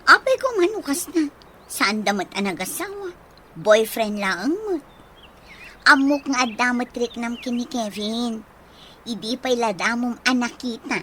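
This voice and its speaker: An actress with an Ilocano accent playing a teasing, lively young woman in a radio drama. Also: a little high, measured pace, a little hiss or hum. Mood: teasing, gossipy.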